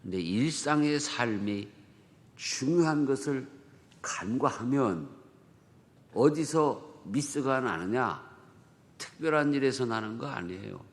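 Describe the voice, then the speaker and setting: A man's voice: mid-range voice, lively intonation, slow. A male pastor with a Chungcheong Korean accent preaching a dawn prayer sermon in a conversational manner, full of rhetorical questions and dialect jokes. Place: church sanctuary. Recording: long church hall reverb.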